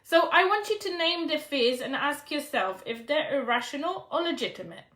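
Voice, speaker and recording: Polish-accented woman, young, business coach live-streaming; bright, higher voice, encouraging, echoey room. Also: animated, moderate pace, distant mic. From Poland. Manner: encouraging, reads out viewer comments, frequent "right?". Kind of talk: live-stream talk to viewers.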